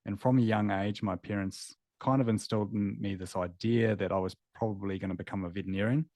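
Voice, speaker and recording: A man's voice: lowish voice, steady tone, quick pace, darkish tone, no echo. A man in his thirties with a New Zealand accent, a guest telling his story candidly and reflectively in an interview. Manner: candid, reflective, with filler words. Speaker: guest, former veterinarian.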